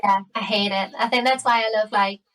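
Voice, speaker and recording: A woman in her late thirties with a Geordie accent, chatting casually and readily. Her pitch stays fairly even, and she sounds a little distant from the microphone. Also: brisk. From Newcastle area, England. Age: late 30s. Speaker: podcast guest. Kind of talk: casual chat.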